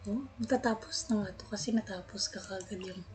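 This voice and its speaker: A woman's voice: medium pitch, fairly even intonation, fast talker, darkish tone, a little hiss or hum. A young adult woman with a Filipino accent, chatting casually as she streams a game. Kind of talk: casual live-stream chat while gaming.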